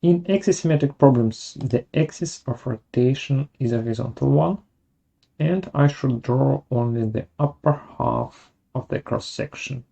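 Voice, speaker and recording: A software webinar presenter narrating a tutorial step by step in a measured way, halting between steps. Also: mid-range voice, vocal fry, rough recording.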